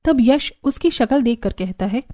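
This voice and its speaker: Mellow, high-voiced woman narrating a story. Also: animated, normal speed, faint music behind. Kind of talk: scripted cartoon story narration.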